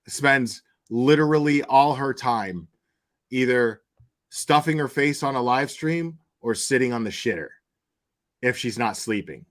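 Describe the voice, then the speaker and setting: A man's voice: mid-range voice, a little slow, a bit bright. Rambling, blunt man giving casual commentary. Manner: rambling, blunt, crude, profanity-laced. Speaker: YouTube commentator. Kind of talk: casual opinion commentary on online drama.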